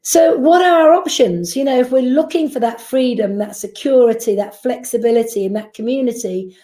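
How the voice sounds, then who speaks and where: Woman, business presenter, persuasive, mellow tone, echoey room. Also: fairly high pitch, moderate pace. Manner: persuasive, rhetorical questions. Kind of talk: sales presentation.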